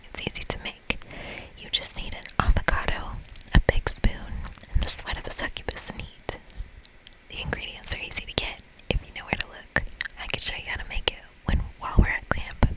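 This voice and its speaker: Woman reading as young witch, dating game character, breathy whisper, friendly, chatty, soft. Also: fairly quick, close to an everyday voice, rough recording.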